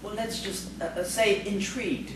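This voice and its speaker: A man's voice: high voice, fairly even intonation, normal speed, lightly acted. Man acting a nervous schoolteacher, echoey and distant. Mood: uneasy, curious. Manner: hesitant, stammering, fussily polite. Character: nervous, lovesick, easily frightened schoolteacher man. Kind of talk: audio theatre acting. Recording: echoey room, distant mic, clear noise.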